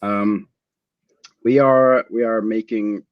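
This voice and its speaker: A man answering technical interview questions as a product manager, in halting, measured phrases. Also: lowish voice, steady tone, dry recording, phone-quality sound.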